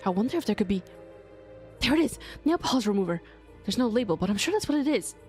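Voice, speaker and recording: A woman's voice: a little high, sing-song, quick pace, faint music behind. A woman voicing an earnest, puzzled young woman who thinks aloud, reading dialogue from a mystery game. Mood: hopeful excitement.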